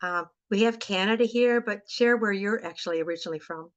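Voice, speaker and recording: A woman's voice: medium pitch, fairly quick. A woman hosting a webinar on a video call, prompting the speakers in a friendly way and laughing often. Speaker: webinar host. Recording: slightly muffled video call audio.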